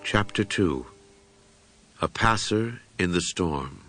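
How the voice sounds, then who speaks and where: A man narrating a first-person horror audiobook in a solemn, brooding, deliberate manner with dramatic pauses. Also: deep voice, animated, fairly bright tone, soft background music.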